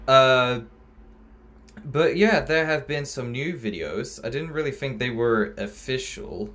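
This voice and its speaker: A man's voice: medium pitch, slow, fairly bright tone. A man hosting a reaction video, talking casually in a hesitant, rambling way about what he watches.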